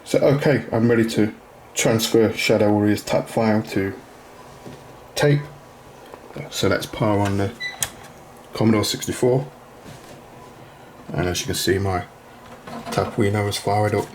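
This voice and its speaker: A man with a low-pitched voice walks through a practical demonstration in an informal, step-by-step way. He explains each part plainly, as the host of a how-to video. A noticeable layer of noise sits behind his speech. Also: unhurried, long pauses, darkish tone, low-quality recording. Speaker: video host demonstrating a retro computer repair. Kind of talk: informal hands-on tutorial narration.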